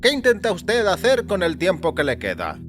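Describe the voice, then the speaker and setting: A man voicing a self-assured doctor in audio fiction, formal, measured and clinically detached. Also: high voice, wildly swooping pitch, a bit bright, soft background music, voice pushed up, exaggerated voice. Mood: clinical, matter-of-fact.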